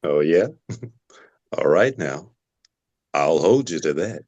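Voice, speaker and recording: Actor as prying man posing as casual, low voice, very unhurried delivery. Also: animated, darkish tone, dull audio, full character voice. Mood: casually prying. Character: smooth, nosy man feigning casual small talk. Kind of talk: table read of a screenplay.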